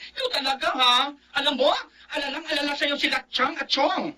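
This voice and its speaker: A woman's voice: sits high, moderate pace, pushed and bright, a little hiss or hum. An actress playing a concerned young female cousin, earnest and chiding, in radio drama style. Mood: scolding. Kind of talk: Filipino radio drama acting.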